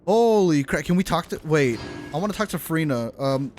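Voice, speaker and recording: A man with a slightly high voice talks casually as a live game streamer. He is enthusiastic and rambling, often repeating himself, with clear game music behind him. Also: lively intonation, fairly quick, darkish tone. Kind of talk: casual livestream chat and reaction.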